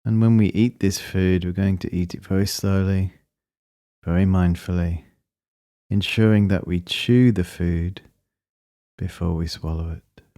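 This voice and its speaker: A man leading a guided meditation, speaking in a calm, measured and gently instructing manner. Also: deep voice, no room sound, clean studio sound.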